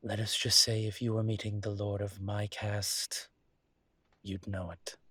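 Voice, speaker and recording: A man playing a suave, flirtatious demon professor in an intimate audio roleplay, speaking in a courtly, teasing way with formal wording and hesitant pauses. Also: fairly low pitch, little pitch movement, pushed and bright, slightly rough, dry recording, exaggerated voice. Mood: measured, explanatory.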